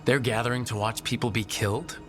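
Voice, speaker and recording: An actor playing an earnest, determined adventurer in a fantasy game, steady and resolute. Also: male voice, medium pitch, fairly quick, music in the background, natural voice. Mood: concerned.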